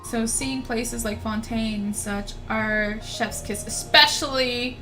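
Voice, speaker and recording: Bright, high-voiced young woman streamer. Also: steady tone, takes it slowly, rough recording. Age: young adult. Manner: gushing, rambling, repeats herself. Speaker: streamer, VTuber. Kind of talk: casual game livestream chat. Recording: music behind the voice.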